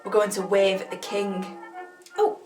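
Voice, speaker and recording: A woman acts an eager, bustling 1940s village woman with a medium-pitched voice. It is period drama, recorded in an echoey room with clear music behind. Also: flat delivery, a little slow, natural voice. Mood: eager, busy. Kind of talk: amateur filmed period drama acting.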